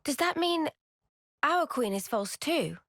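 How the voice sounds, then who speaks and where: A woman's voice: high-pitched, lively intonation, takes it slowly, no room sound, studio quality, heavily acted. An actress playing an earnest, inquisitive young female soldier in a video game, with a questioning manner. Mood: troubled. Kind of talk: video game cutscene acting. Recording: music behind the voice.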